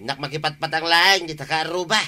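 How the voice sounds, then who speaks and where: An actor plays an exasperated village husband in an Ilocano radio comedy. His voice is fairly high and very piercing, grumbling in a northern Philippine accent, with noise and chirping crickets behind. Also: fast talker, bright, forceful tone. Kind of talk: comedy radio drama acting.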